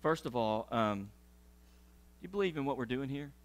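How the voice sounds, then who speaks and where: A man's voice: mid-range voice, measured pace. A middle-aged man, a pastor giving church announcements in sermon style, talking animatedly as he moves around a large church sanctuary. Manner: animated, gesturing while pacing the stage.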